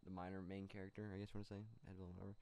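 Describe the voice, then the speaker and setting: A man's voice: deep voice, completely flat delivery, very fast, mellow. Rambling young man reading gaming news. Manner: rambling, loose delivery with many fillers. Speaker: co-host of a gaming news show. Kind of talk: casual gaming news chat. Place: small home studio room. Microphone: studio mic on a boom arm.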